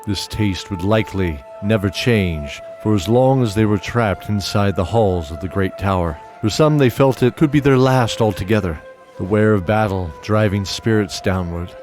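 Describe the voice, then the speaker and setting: A man narrating a fantasy audio drama in steady, descriptive scripted storytelling. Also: lowish voice, normal speed, hardly any pauses, faint music behind.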